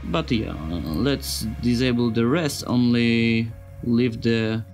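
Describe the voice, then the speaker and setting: Halting, slow-talking non-native English-speaking man. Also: medium pitch, darkish tone, faint music behind. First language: not English. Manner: halting, searching for words, simple phrasing. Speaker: sound designer giving a tutorial. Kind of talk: informal software tutorial narration.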